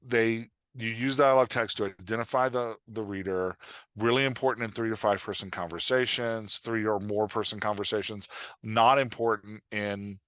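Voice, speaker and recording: A man's voice: on the low side, a bit bright, no room sound. A man chatting casually as a podcast guest, coaching on a craft, explaining with improvised examples and frequent "like" and "right?" check-ins.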